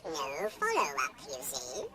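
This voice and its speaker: Slow, swooping, pompous alien mouse. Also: female voice, rumbling low, huge pitch swings, over loud music, heavily acted. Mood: peevish. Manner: drawling, pompous, wildly swooping. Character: theatrical, jaded alien mouse, greedy former idealist. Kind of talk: radio comedy drama acting.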